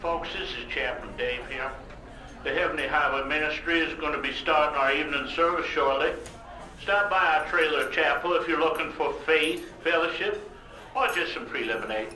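A man's voice: a little high, moderate pace, sharp tone. A man acting as a folksy truck-stop chaplain making a public announcement in an audio drama. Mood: inviting. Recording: echoey announcement.

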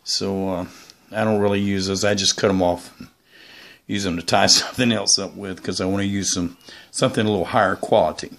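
Low-pitched man, rambling casual vlogger. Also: fairly even intonation, brisk, creaky, a bit bright. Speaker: YouTuber presenting his radio antennas. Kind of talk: casual unscripted product show-and-tell.

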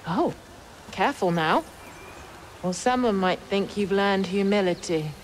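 A woman's voice: mid-range voice, a little slow, lightly acted. Woman acting composed, wry female Jedi, ambient noise. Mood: teasing. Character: wry, patient female Jedi, measured voice of caution. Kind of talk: cinematic video game acting.

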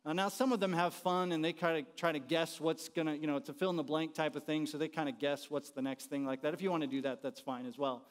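A man's voice: on the high side, steady tone, fast talker, few pauses. A middle-aged American man giving an informal, conversational teaching talk to an audience, often putting questions to them. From born in the United States, raised partly in Haiti. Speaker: university dean and teacher, conference speaker. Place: large church auditorium, speaking from the front by the stage. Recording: reverberant hall sound.

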